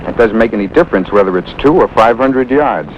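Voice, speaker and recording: An actor playing a gruff but friendly American military instructor in a 1950s TV drama. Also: male voice, lowish voice, lively intonation, fairly quick, slight background noise, lightly acted. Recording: muffled 1950s TV soundtrack, loud music.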